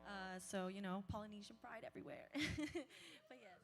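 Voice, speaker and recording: Soft-spoken New woman. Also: medium pitch, animated, normal speed, music in the background. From New Zealand. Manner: soft-spoken, brief answers with fillers and laughs. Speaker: musician guest. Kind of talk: live post-performance interview. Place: live stage at an indoor market venue.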